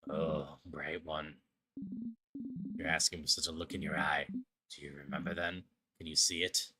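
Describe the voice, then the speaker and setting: A man voicing a solemn, weary king in a game, speaking in a slow, grave, imploring way. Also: very deep voice, level tone, bright, forceful tone, pitched below the performer's own voice, exaggerated voice. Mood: grave pleading. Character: solemn, pleading, world-weary king addressing a chosen traveler. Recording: music behind the voice.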